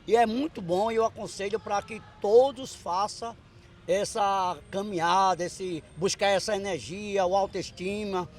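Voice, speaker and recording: A man's voice: high-pitched, steady tone, unhurried. A man in his sixties interviewed outdoors in a park, talking with enthusiasm and repeating praise. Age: 60s.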